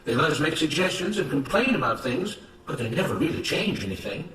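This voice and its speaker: A man's voice: on the high side, sing-song, fairly quick, fairly bright tone, pitched above the performer's own voice. A man voicing a defiant enslaved plantation worker in a story, rallying others with emphatic, impassioned protest. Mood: indignant. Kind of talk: dramatized story reading. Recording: roomy, noisy, distant microphone.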